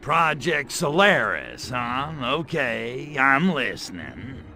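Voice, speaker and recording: Slow, swaggering alien wise guy. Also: mid-range voice, sing-song. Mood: impatient, wary. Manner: sardonic, swaggering, flippant. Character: cocky, wisecracking, tough-guy male alien invader. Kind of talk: comedic video game acting.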